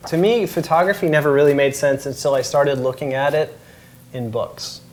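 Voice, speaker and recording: Very low-voiced woman chatting casually. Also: normal speed, darkish tone, a little hiss or hum. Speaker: host and photographer. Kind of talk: casual chat. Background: faint music.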